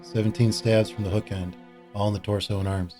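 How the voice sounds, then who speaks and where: A man's voice: lowish voice, level tone, brisk, over music, close to an everyday voice. A man playing a weary, sardonic police detective in a noir audio drama, talking in a dry, world-weary mutter. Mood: tired, dry. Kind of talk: noir radio drama acting.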